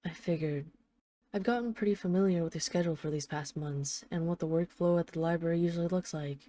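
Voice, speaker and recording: Actress as female mafia boss in hiding, lovesick and apologetic. Lowish, soft-edged dark tone, earnest halting delivery, intimate audio roleplay. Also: dry recording, lightly acted. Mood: regretful, vulnerable. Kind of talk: intimate audio roleplay, one side of a phone call.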